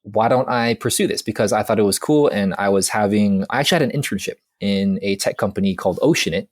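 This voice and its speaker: A man's voice: lowish voice, fast talker, no room sound, studio quality. A man in his twenties from Hawaii, a guest on an interview podcast, talking casually in a rambling, self-deprecating way with lots of fillers. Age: 20s. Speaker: guest, mindset coach.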